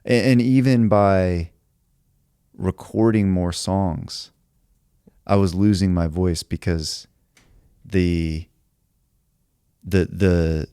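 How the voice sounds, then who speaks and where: A man who writes songs, talking in a relaxed podcast conversation, thinking aloud and reflecting at length with many filler words. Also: low voice, drawn-out words, long pauses, clear, resonant tone, no echo, clean studio sound. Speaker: songwriter.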